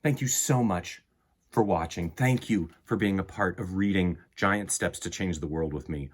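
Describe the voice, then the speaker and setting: A man hosting a children's storytime, reading aloud and talking to young listeners in an earnest, emphatic, deliberate way. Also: sits low. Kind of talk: expressive storybook read-aloud and talk to children.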